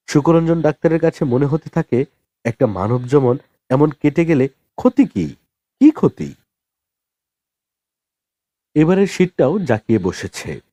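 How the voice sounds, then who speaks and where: A man reads a novel aloud as a storyteller. His voice is soft-edged and dark in tone, with animated rises and falls in pitch. It follows a scripted narrative style. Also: no room sound. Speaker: audiobook narrator.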